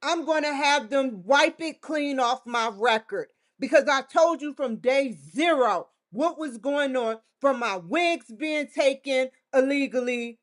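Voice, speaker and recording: A woman vlogger giving a defiant, confrontational monologue straight to the camera, swearing often. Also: high voice. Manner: defiant, confrontational, with frequent profanity. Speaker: vlogger hosting her own show.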